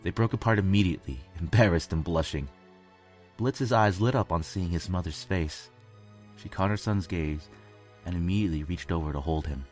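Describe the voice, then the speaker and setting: Deep-voiced man narrating a story over music. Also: normal speed. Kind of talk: scripted dramatic storybook narration.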